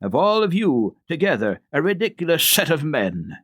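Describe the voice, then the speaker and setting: A man voicing a stern, commanding gentleman in a storybook, with firm, emphatic delivery. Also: fairly high pitch, very animated, normal speed, no echo, studio quality, voice pushed up. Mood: scornful, emphatic.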